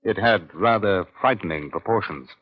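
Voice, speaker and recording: An actor playing a senior British police inspector in an old-time radio crime drama, measured and authoritative with a dry, wry edge. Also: male voice, lowish voice, close to an everyday voice. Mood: grave, measured. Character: male senior Scotland Yard police inspector, brisk and wry. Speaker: narrator and lead detective. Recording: dull, lo-fi vintage radio recording.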